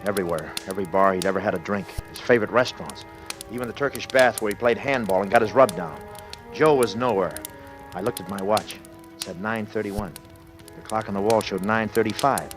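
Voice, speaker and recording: A man's voice: on the low side, brisk, soft background music, natural voice. An actor playing a desperate, panicked businessman who narrates his ordeal in clipped, urgent sentences, in 1940s radio thriller style. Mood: anxious dread. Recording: noisy old-time radio recording.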